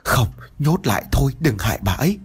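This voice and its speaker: A man's voice: medium pitch, very animated, fairly quick, slightly rough. A man doing a stammering, frightened old lord. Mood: shaken. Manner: stammering, pleading.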